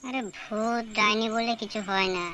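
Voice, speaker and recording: A woman's voice: a little high, steady tone, moderate pace, lightly acted. Woman voicing a bold, impatient boy, noisy recording. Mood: dismissive, goading. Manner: dismissive, insistent, goading. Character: bold, skeptical, impatient boy coaxing his timid friend. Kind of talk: cartoon story dialogue. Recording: clearly noisy background.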